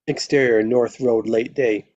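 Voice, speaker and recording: A man's voice: medium pitch, fairly even intonation, a little slow, darkish tone, no echo. A man reading stage directions as narrator at a live screenplay table read, on a dull lo-fi microphone.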